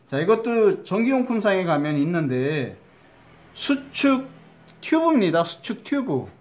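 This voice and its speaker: Man, middle-aged, Chungcheong Korean accent, slightly high pitch, chatty, repeats terms, mutters while measuring. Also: a little mellow. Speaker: crafts teacher. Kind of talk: step-by-step craft demonstration.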